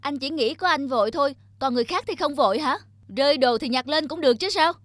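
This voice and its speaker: A woman's voice: high-pitched, fast talker, dry recording, natural voice. A woman voicing a principled, helpful young female passenger in a cartoon, polite but assertive. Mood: firm. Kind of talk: cartoon character acting.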